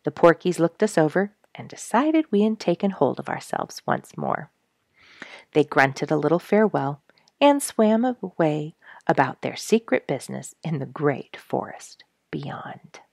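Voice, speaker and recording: A woman reading a story aloud in an expressive, earnest and gentle way, often dropping to a soft hush. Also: low-pitched, a little slow, vocal fry, no echo, studio quality. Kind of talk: expressive audiobook narration for families.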